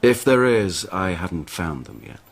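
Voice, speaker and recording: An actor playing a clever, persuasive and courteous official in a radio drama, with a wry, deliberate manner. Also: male voice, sits low, lively intonation. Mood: wry, courting. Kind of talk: intimate radio drama dialogue.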